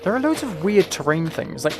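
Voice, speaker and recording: A man's voice: a little high, sing-song, brisk. Man livestreaming, music behind. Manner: rambling, thinking aloud. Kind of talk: casual chat while playing a game.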